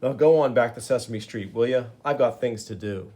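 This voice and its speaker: A man's voice: mid-range voice, normal speed, dark tone, close to an everyday voice. A man voicing a young male superhero who talks in breezy, sarcastic quips. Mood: wry.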